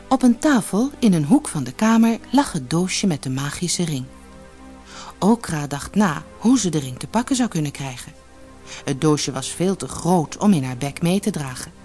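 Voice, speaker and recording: A woman reading a fairy tale as an audiobook storyteller, expressive and measured. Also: low-pitched. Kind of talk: scripted fairy-tale narration.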